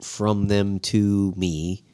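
A man's voice: lowish voice, level tone, drawn-out words, warm tone, clean tone. A congested middle-aged man in casual podcast chat, ranting with opinions. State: stuffy, congested. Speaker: podcast co-host.

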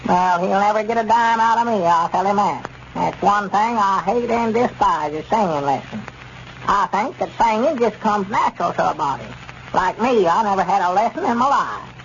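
An old-time radio recording, muffled and noisy, carries an older country storekeeper with a high voice. He has a rural Southern drawl and a homespun, plain-spoken way, easily puzzled and prone to grumble. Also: lively intonation, normal speed, fairly bright tone. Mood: grumbling. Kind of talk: 1930s radio comedy acting.

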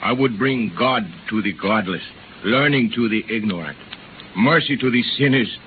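A man's voice: mid-range voice, normal speed, phone-quality sound, close to an everyday voice. An actor playing a devout, resolute, fatherly bishop with solemn oratory in a hissy 1930s radio drama.

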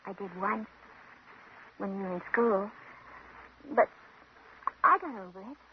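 An actress playing a wistful young woman with an old crush in a 1950s radio drama, speaking softly, hesitantly and coyly. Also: medium pitch, unhurried, lightly acted. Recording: muffled, noisy old radio recording.

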